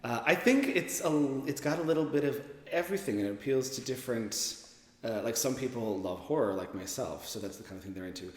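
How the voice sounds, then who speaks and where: A man talking casually in an interview as a performer and writer. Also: mid-range voice. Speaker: radio drama writer and performer. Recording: echoey, reverberant hall.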